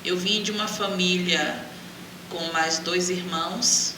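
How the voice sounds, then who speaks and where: A woman's voice: fairly low pitch, fairly even intonation, takes it slowly. A middle-aged woman from Brazil with a Bahian accent, telling her life story as an interview guest. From Salvador, Bahia, Brazil. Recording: echoey, distant mic, clear background noise.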